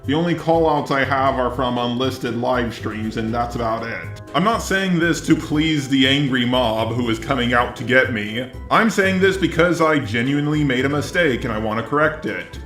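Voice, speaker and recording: A man's voice: medium pitch, expressive, normal speed, few pauses, fairly bright tone, soft background music. Synthetic male robot voice with roomy echo. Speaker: video commentator. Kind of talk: scripted video commentary.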